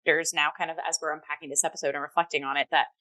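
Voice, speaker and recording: Woman on a review talk show, slightly low voice, chatty, emphatic, feeling for words. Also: little pitch movement, rapid-fire, sharp tone. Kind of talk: casual review chat.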